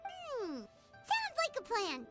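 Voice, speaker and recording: A woman voicing a tiny, excitable, childlike fairy companion with a squeaky voice in a video game. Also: drawn-out words, loud music behind the voice, exaggerated voice. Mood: thoughtful, bright. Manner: squeaky, speaks of herself in third person.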